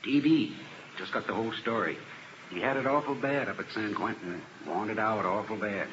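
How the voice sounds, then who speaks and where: Male actor, plainspoken police officer, wry, clipped, low pitch, old radio drama, heavy hiss. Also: moderate pace, close to an everyday voice. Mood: wry, resigned. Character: matter-of-fact male police officer, wryly weary. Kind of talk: 1950s radio drama acting. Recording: dull, noisy old radio recording.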